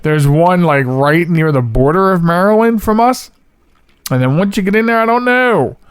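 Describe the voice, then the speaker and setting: A man's voice: sits high, lively intonation, normal speed, clear, resonant tone, no echo, studio quality. An American man hosting a podcast alone, chatting casually in a rambling way and correcting himself as he goes. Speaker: podcast host. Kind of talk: casual solo podcast chat.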